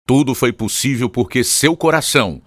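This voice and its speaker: A man's voice: medium pitch, animated, normal speed, a bit bright, no echo, clean studio sound. A man with a Brazilian accent reading scripted narration as the announcer of a radio program. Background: guitar music.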